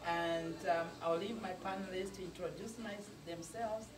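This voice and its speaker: Halting male talk show host, distant mic. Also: high voice, fairly even intonation, music in the background. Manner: halting, with frequent ums and uhs. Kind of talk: hesitant studio talk show hosting. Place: studio. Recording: roomy, distant mic, noisy.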